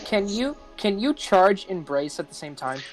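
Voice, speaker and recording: A player with a middle-pitched voice narrates a character's moves in a tabletop game. The delivery is casual and halting, through a phone mic. Faint music sits underneath. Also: big pitch swings. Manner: halting, searching for words. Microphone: phone with push-to-talk.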